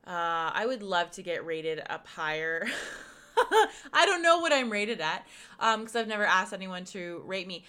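Bright-voiced woman losing her voice. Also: lowish voice, animated, normal speed, clean tone. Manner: chatty, frank, many fillers. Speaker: podcast host and pleasure coach. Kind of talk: casual podcast monologue.

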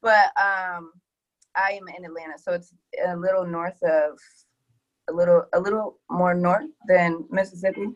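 A woman's voice: on the low side, fairly even intonation, moderate pace, dull audio. A woman from the South, speaking candidly as a podcast guest, hesitant and full of ums. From Deep South of the US. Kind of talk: casual introduction.

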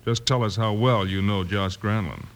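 An actor playing a steady, plain-spoken, authoritative American marshal in an old radio western. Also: male voice, fairly low pitch, moderate pace, close to an everyday voice. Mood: polite, firm. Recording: noisy old radio recording.

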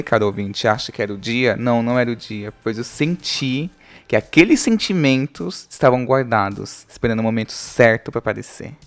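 A podcast host with a Brazilian accent, colloquial and playfully dramatic in delivery, moving between scripted storytelling and casual chat. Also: mid-range voice.

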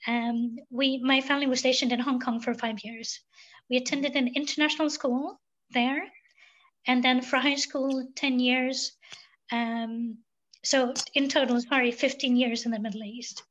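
A high-pitched woman from Sweden speaks as a podcast guest, choosing her words with care and pausing often on fillers. The sound is somewhat dull and lo-fi. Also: level tone, fairly bright tone. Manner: thoughtful, many um fillers. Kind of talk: reflective interview talk.

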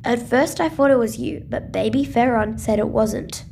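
Woman playing a high-pitched, bewildered young boy. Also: moderate pace, gravelly, a little mellow, slight background noise. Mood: earnest. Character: Tom, confused young boy from Earth, trusting, questioning. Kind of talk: sci-fi audio drama acting.

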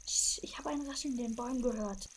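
A woman playing a wary, teasing young detective sidekick in a children's audio drama, with a wry, expressive manner. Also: fairly high pitch, fast talker, slight background noise, natural voice. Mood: nervous.

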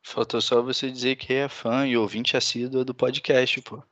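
A man hosting a casual podcast chat, relaxed and joking as he asks his guests to introduce themselves. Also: medium pitch, moderate pace, a little hiss or hum. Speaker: podcast host. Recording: dry, slightly muffled sound.

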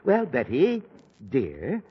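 A man's voice: mid-range voice, wildly swooping pitch, slow, slightly rough, full character voice. An actor playing an exasperated middle-aged father with a headache, in a 1940s radio sitcom, sarcastic and fussy. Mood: stern. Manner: fussy, sarcastic, flares into shouting. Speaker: father of the house. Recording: dull old radio broadcast with faint hiss.

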